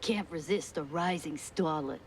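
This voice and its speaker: A woman's voice: sits low, a little slow, slight background noise. A woman playing a brash, cheerful cartoon mouse pyrotechnist in a game, with cocky, streetwise talk. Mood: chatty, candid. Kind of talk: 1930s cartoon game acting.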